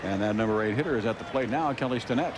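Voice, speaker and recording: A man's voice: mid-range voice, racing. American baseball announcer, man, very noisy broadcast. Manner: conversational, wry, clipped calls. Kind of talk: live radio baseball commentary. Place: open-air ballpark broadcast booth. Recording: very noisy outdoor ballpark broadcast.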